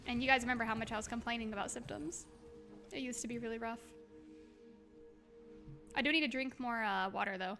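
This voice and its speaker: A woman chatting casually on a game livestream, expressive and affectionate, reading messages aloud, with a slightly hoarse voice. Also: a little high, fairly quick, pauses often. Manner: expressive, exclaims often, gushes affectionately. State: slightly hoarse, by own account. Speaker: livestream host. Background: music.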